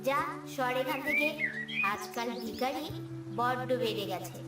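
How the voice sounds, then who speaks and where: A woman voicing a scornful, irritable village man in a cartoon fairy tale, gruff and dismissive. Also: on the high side, measured pace, voice pushed down, natural voice. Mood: contemptuous. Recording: roomy, distant, loud music bed.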